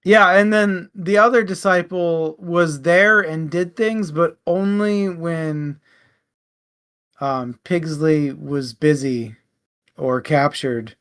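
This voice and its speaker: Slow-paced, blunt, joking book-club talker. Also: mid-range voice, fairly bright tone. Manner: joking, blunt, laughs often. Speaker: co-host of a book discussion show. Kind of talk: casual book discussion.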